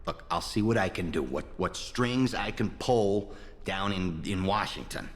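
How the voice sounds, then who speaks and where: An actor playing a vain, foul-mouthed corrupt American politician in a video game, with a pompous, swaggering delivery. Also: male voice, low-pitched, a little slow. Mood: exasperated, ranting. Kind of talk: video game character acting. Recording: long reverb.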